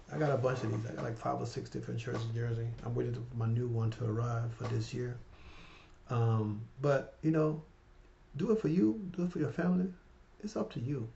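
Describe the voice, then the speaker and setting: A man in his fifties with a gravelly, dark voice talks to camera in a loose, rambling, heartfelt way. He keeps checking in with his viewers. The sound is a little dull, like a plain home video recording. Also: mid-range voice. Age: 50s. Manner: rambling, repeats "right?" and "you guys"; warm and heartfelt, emotional about his mother. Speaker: finance vlogger. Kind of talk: casual motivational talk to camera.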